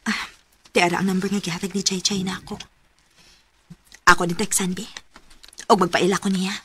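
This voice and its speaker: A woman's voice: mid-range voice, little pitch movement, brisk, noisy background, close to an everyday voice. A woman with a Cebuano accent playing a giggly, hesitant young single woman in a radio romance drama. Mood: playful, wary. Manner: teasing, exclamatory, giggly.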